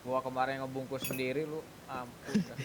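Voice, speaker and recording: A man's voice: medium pitch, flat delivery, moderate pace, crowd noise, poor audio. A young man with a colloquial Jakarta Indonesian accent, bantering casually in online game voice chat. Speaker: GTA roleplay gamer in a group meeting.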